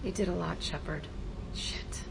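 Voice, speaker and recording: An actress voicing a tough, volatile young female ex-convict who talks rough and defiant, in a game cutscene. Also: lowish voice, unhurried, in a whisper, poor audio. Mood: gruff. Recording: game audio with faint ambient noise.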